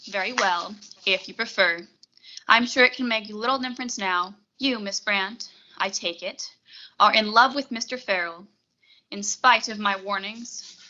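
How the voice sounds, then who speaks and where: A woman's voice: mid-range voice, pushed and bright, highs cut off. A young woman acting a cool, formal, menacing blackmailer receptionist in a 1940s-style radio suspense play. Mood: cold, calculating. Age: young adult. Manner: formal, measured, polite menace. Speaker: high school theatre student actor.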